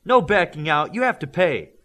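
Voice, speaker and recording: A man reading an audiobook, voicing a boastful, competitive young man who talks loudly. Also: a little high, animated, normal speed, pitched above the performer's own voice. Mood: cocky. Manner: loud, boastful.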